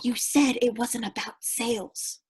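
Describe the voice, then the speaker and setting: An actress plays a keen, dim woman recruit in a stage play, pushy and slangy. The voice is quite high and husky. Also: steady tone, measured pace, a little mellow. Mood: frustrated. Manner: slangy, insistent.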